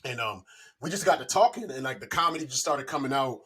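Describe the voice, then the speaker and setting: A man's voice: medium pitch, expressive, fast talker, a crowd in the background. A man in his forties chatting casually and telling personal stories, with profane, slangy talk and a habit of repeating himself. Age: 40s. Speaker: podcast guest. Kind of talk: casual chat, personal anecdotes.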